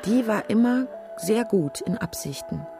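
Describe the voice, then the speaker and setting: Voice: medium pitch, animated, unhurried, vocal fry, music in the background. An actor playing a dry, opinionated companion in a science-fiction radio drama. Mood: dry, frank.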